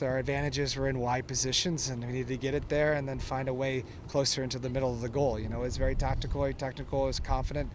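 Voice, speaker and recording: Earnest coach in a postgame interview. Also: male voice, medium pitch, a little mellow, a little hiss or hum. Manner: earnest, halting, many fillers and restarts. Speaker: soccer coach. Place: outdoors at a soccer field at night. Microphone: handheld microphones held close.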